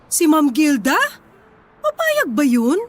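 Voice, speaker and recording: A woman's voice: sits high, wildly swooping pitch, takes it slowly, full character voice. A woman playing a modest, practical young Filipina wife and mother in a radio drama, hesitant and questioning. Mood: hesitant, resigned.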